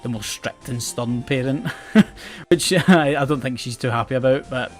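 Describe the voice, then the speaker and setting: A man's voice: medium pitch, moderate pace. Chatty west coast Scottish man. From Scotland. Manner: chatty, rambling, self-mocking, often restarts words and laughs. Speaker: gaming channel host. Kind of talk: casual game commentary. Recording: game music playing faintly behind.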